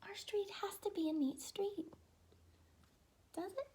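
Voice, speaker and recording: Woman putting on high-pitched scandalized, fussy neighbor. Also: slow, dark tone. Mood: outraged. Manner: exclaiming, indignant complaining. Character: scandalized, fussy grumbling neighbors demanding a tidy street.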